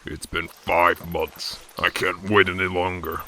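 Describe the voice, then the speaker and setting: A man's voice: low voice, little pitch movement, a little slow, bright, forceful tone, slight background noise. A man playing a stubborn, brooding masked vigilante in a radio drama, terse, grim and resolute. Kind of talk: radio drama acting and first-person narration.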